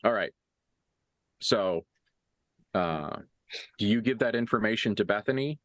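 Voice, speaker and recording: A man's voice: lowish voice, lively intonation, measured pace, no echo. A man acting as game master, improvising narration for a tabletop roleplaying game in a casual, mildly profane way.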